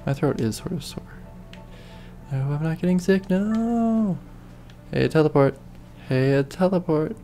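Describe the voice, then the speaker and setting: A man streaming a game, rambling through casual gameplay commentary with a hoarse voice he is losing and thoughts that trail off. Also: on the high side, animated, measured pace, dark tone. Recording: faint game music behind.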